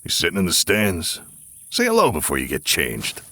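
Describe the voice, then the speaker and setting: Middle-aged male coach, deep and husky, forceful, folksy teasing, audio drama. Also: wildly swooping pitch, normal speed, no room sound, studio quality, heavily acted. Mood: stern, warning. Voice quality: gravelly. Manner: stern but folksy, teasing.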